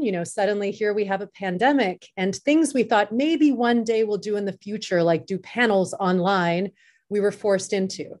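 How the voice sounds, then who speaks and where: A woman's voice: medium pitch, darkish tone. A woman speaking as a festival director in an informal panel discussion, fluent, upbeat and conversational.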